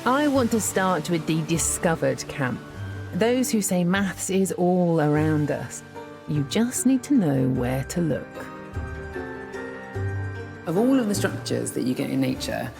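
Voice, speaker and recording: Documentary presenter, woman, thirties, low gravelly voice, music under her. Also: a little mellow. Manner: clear, measured, thoughtful delivery. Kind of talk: scripted documentary narration.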